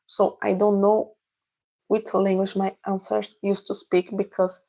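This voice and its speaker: A young Brazilian woman with a Portuguese accent, answering viewers casually on a livestream in careful, halting English. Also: medium pitch, level tone, creaky, mellow. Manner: halting, searching for English words. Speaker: livestream host.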